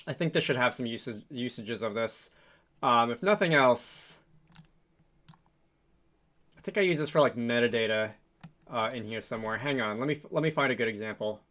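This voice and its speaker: Bright-voiced man thinking aloud through code. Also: mid-range voice. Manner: thinking aloud, halting and hesitant. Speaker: programming tutorial host. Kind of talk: casual screen-recorded coding walkthrough.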